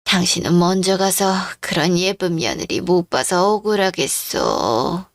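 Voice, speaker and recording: A woman's voice: fairly low pitch, lively intonation, a little slow, creaky, no echo, clean studio sound. A woman playing a frail, confused elderly mother-in-law, tender and longing, in a radio-drama style story. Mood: tender, dreamy.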